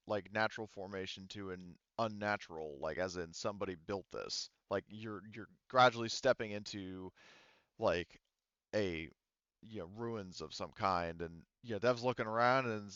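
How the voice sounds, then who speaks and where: A man running a tabletop roleplaying game as game master, unscripted and halting as he searches for words. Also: on the low side, fairly bright tone, clean tone, dry recording, muffled recording.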